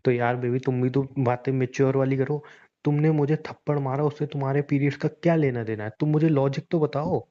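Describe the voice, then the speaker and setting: A dull, lo-fi call recording carries a mellow young boyfriend , played by a man. He is obtuse and teasing in a casual phone drama. He argues back deadpan, feigning innocence at every turn. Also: medium pitch, a little fast, natural voice. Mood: feigned innocence. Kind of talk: staged phone-call argument in casual speech.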